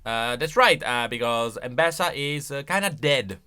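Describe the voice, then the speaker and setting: Very bright, excitable man doing reaction commentary. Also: medium pitch, normal speed, smooth. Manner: excitable, emphatic. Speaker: host, game lore commentator.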